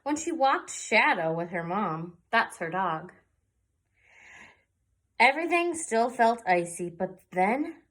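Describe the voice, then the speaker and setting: Slow, animated woman reading to children. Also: mid-range voice. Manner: slow, animated, pausing for asides. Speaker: children's read-aloud presenter. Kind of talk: expressive picture-book storytelling to young children.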